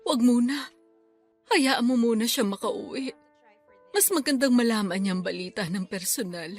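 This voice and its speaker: Grieving filipino lola for radio drama, high voice, emotional n weepy, bit of music behind. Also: female voice, moderate pace. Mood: sorrowful, worried. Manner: tearful, emotional delivery. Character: grieving elderly grandmother, tearful, mourning her daughter. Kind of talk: Tagalog radio drama acting.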